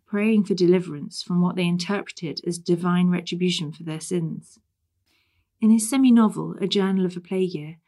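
A woman reads a scripted essay in a measured, articulate way. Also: fairly low pitch, a little mellow. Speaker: article reader. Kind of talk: scripted narration, read essay.

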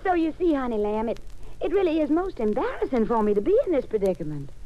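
An actress playing a seductive, scheming femme fatale in a 1950s radio drama, coy and syrupy with a Southern American accent. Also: female voice, on the high side, big pitch swings, fast. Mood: coy, flustered. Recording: dull 1950s radio broadcast.

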